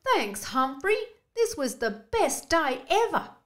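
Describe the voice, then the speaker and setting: Shy, gentle male blobfish played by a woman, storybook, earnest, raised pitch, slow delivery. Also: big pitch swings, heavily acted. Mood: grateful.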